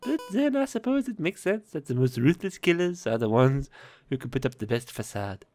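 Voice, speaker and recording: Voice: mid-range voice, sing-song, moderate pace, darkish tone, pitched above the performer's own voice, natural voice. A game player voicing a well-bred young female bird, airy and a little haughty, with faint music behind. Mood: musing.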